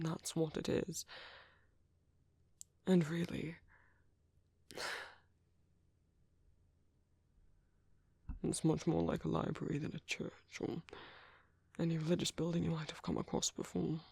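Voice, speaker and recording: A man with a British accent playing a gentle, caring vampire priest in a soft-spoken ASMR roleplay, hesitant, polite and reassuring in a half-whispered, breathy, gravelly voice. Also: fairly high pitch, level tone, moderate pace, long pauses, dry recording. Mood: soothing, reassuring.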